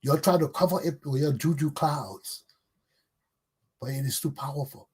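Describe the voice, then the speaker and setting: A man's voice: a little high, normal speed, darkish tone, breathy edge. A middle-aged man with a Liberian accent delivers an impassioned, combative political monologue as a talk show host, emphatic and preachy, hammering phrases through repetition.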